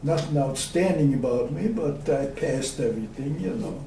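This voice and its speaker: A man, recalling his life in an oral history interview, slow and hesitant with many pauses. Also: on the high side, dark tone. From Austria. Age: 90s. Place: room at a community center. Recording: echoey room, distant mic, faint hiss.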